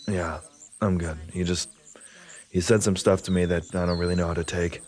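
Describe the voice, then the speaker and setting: A man plays a guarded sci-fi sergeant with a low, deep voice. He is laconic and dry, speaking in a low-key way as in scripted drama. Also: little pitch movement, normal speed, a little mellow.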